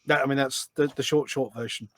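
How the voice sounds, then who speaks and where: A man's voice: mid-range voice, fairly even intonation, a little fast. A British man chatting informally as a podcast guest, with an easygoing, self-deprecating way of talking. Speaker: podcast guest, community event organiser. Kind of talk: casual chat. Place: office.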